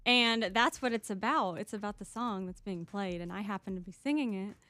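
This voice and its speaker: A woman's voice: medium pitch, brisk, fairly bright tone, no echo. A woman cohosting a morning radio show, laughing easily and standing up for herself. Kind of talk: casual morning radio banter. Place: radio studio.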